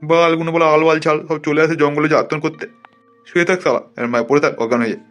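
A man's voice: on the high side, rapid-fire, a bit bright, faint music behind, close to an everyday voice. A man voicing an irritable, coarse widower in a comic cartoon, grumbling and swearing. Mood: exasperated. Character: irritable, crude widowed man sneaking into the woods. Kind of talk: comic cartoon dubbing in colloquial Bengali.

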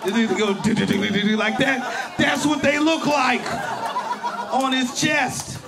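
High-voiced man doing loud stand-up. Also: measured pace, creaky, noisy background. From Berkeley, California. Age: 40s. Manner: loud, animated, profane punchlines with shouted peaks. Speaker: stand-up comedian. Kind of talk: stand-up comedy set. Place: comedy club stage. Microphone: handheld mic. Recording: live club with crowd laughter. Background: audience laughter.

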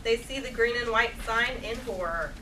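High-voiced woman reading stage directions. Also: moderate pace. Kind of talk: scripted narration at a comedy table read. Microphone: far camera. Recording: distant stage recording with background noise.

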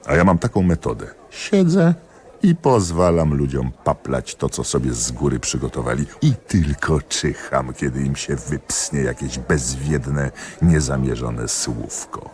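Very deep-voiced, cynical jealous magistrate. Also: male voice, expressive, unhurried, a little mellow, heavily acted. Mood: confiding. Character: cynical, jealous male examining magistrate, confessing and agitated. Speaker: actor in a radio play. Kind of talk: theatrical radio drama dialogue.

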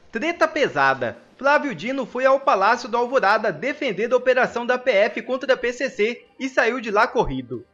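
A man's voice: very high voice, bright, forceful tone, highs cut off. A man reading sensational news headlines in a partisan tone. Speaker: news channel narrator.

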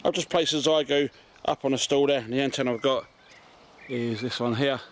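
A man's voice: mid-range voice, brisk. A man in his forties with an English accent casually demonstrating gear as a video host. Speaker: amateur radio video host. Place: outdoors in a garden and on a street. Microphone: camera mic, arm's length or further. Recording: outdoor camera audio with background noise.

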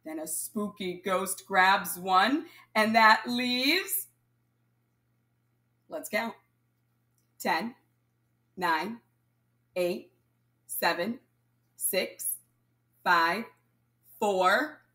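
A woman reading aloud and counting as a primary teacher, addressing young children in an instructional way and pausing for answers. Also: mid-range voice, bright, forceful tone. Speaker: primary school math teacher. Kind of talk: children's read-aloud lesson, counting along.

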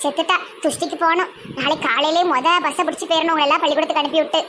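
A woman's voice: high voice, fairly even intonation, racing, bright, forceful tone, a little hiss or hum. A woman playing a superstitious, scolding mother in a comic family skit, nagging and lamenting in a southern Tamil Nadu accent. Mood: dismayed, complaining.

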